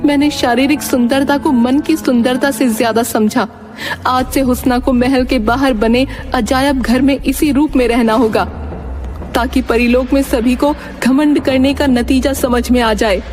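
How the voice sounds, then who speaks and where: An imperious fairy queen , acted by a woman, speaks in a high voice with regal, declamatory command. She sounds like a cartoon monarch passing judgement, with music behind her. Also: fairly even intonation, a little slow, a little mellow. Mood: regretful, ruling.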